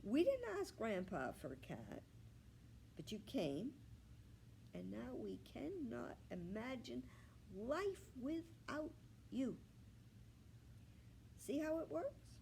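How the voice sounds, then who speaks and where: Woman voicing an earnest, lively, kindly storybook pig. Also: a little high, takes it slowly, vocal fry, long pauses, a little hiss or hum, pitched above the performer's own voice, exaggerated voice. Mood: coaxing. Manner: gentle, earnest, coaxing. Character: kindly, earnest male pig, peacemaking housemate.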